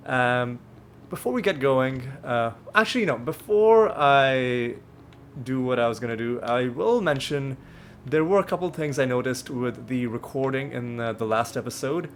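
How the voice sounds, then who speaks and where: A man's voice: mid-range voice, expressive, normal speed, a little hiss or hum. Man with a slightly nasal voice. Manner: rambling, self-correcting explainer. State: recovering from a cold. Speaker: let's play host. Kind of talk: casual gameplay commentary. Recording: faint background music.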